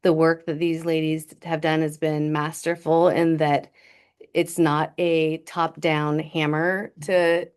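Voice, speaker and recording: A woman's voice: low voice, little pitch movement, takes it slowly, a little mellow, clear, resonant tone. A woman school leader speaking at a board meeting, emphatically praising the staff's work. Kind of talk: meeting remarks.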